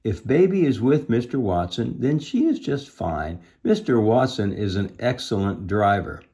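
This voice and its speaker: A man's voice: lowish voice, sing-song, normal speed, dark tone, pitched above the performer's own voice. Man putting on a kindly, soothing housewife. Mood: reassuring. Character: kindly, reassuring housewife, cheerfully unworried.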